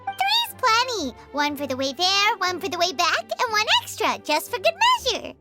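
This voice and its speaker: A chatty, expressive little fairy companion chirps through her lines. Her voice is piercingly high and leaps wildly up and down. Loud game music sits under her. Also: normal speed, exaggerated voice. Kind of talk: video game voice acting.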